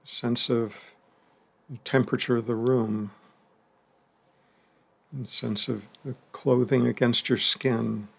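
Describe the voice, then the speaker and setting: A man leading a guided meditation, speaking slowly and gently with long pauses and the odd soft laugh. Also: medium pitch, fairly even intonation, creaky, breathy edge. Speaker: meditation teacher.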